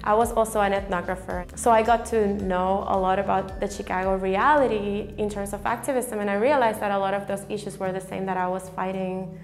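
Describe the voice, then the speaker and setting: Female candidate, Puerto Rican accent, Spanish native, average pitch, personal campaign testimony. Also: steady tone, brisk, soft background music. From Puerto Rico. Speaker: city council candidate, former teacher.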